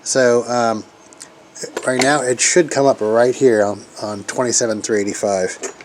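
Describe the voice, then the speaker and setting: A man's voice: medium pitch, fairly even intonation, a little slow. Informal man thinking aloud over background noise. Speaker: hobbyist radio operator demonstrating equipment. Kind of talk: casual hands-on tech demo.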